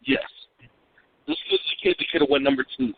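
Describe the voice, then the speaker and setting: A man's voice: on the high side, wildly swooping pitch, fairly quick, vocal fry, dry recording. A man co-hosting a casual sports podcast, blunt and swearing, stammering as he starts his sentences. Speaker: podcast co-host. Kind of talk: casual sports call-in chat. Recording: dry tinny phone-like line.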